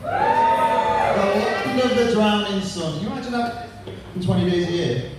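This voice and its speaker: A man's voice: high voice, huge pitch swings, measured pace. Man, 30s, Manchester accent, stand-up comic, punchy crude jokes, noisy reverberant pub stage. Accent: Manchester English. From England. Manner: punchy crude joke delivery. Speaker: stand-up comedian. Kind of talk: stand-up set. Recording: echoey room from far off, noisy.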